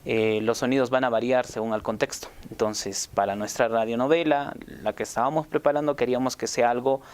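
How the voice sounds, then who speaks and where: Smooth-voiced man talking casually. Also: medium pitch, steady tone, brisk. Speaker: radio drama sound production team member. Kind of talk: casual recollection to camera.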